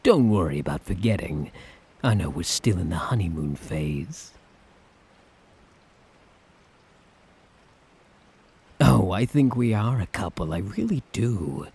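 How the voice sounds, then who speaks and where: A man playing a suave, sadistic tyrant in an ASMR roleplay, teasing and condescendingly affectionate, with a breathy, husky voice. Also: low voice, sing-song, moderate pace, a little mellow, heavily acted. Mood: playfully affectionate. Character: suave, sadistic, doting male tyrant, obsessively in love.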